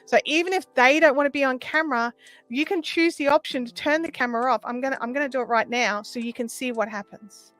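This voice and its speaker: A woman's voice: high voice, fast, fairly bright tone, faint music behind. A woman hosting a casual livestream, explaining things conversationally as she answers viewers. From Australia. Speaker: livestream host. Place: small sound-treated home room.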